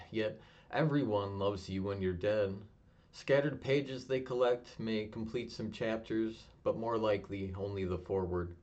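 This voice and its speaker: A young man reading his own spoken word poem in a low-key, even delivery he calls monotonous. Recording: dull lo-fi home recording.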